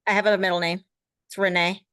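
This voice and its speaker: A woman's voice: medium pitch, level tone, fast, a bit bright, no echo, studio quality. A woman from Ohio taking part in a webinar, answering questions briefly and plainly. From Ohio, United States. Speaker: webinar participant. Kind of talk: casual answers on a video call.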